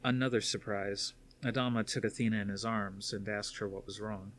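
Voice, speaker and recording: A man's voice: fairly low pitch, level tone, a little fast, slight background noise. A man reading a novel aloud as scripted story narration. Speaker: audiobook narrator.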